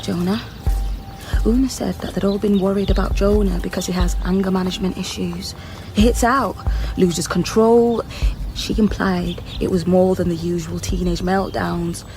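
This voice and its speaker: Actress as guarded female detective working undercover. Measured, inquisitive, natural radio-drama delivery, mid register over music. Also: medium pitch, a little mellow, lightly acted. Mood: brisk, intent. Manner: careful, questioning, measured. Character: guarded undercover policewoman posing as a nanny, probing.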